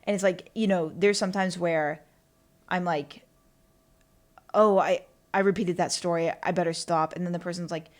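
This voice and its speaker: A woman's voice: lowish voice, fairly even intonation, normal speed. A young woman hosting her own podcast and rambling casually on her own, with lots of fillers and asides. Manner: rambling and chatty, with lots of fillers and self-talk. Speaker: podcast host. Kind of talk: casual solo podcast monologue.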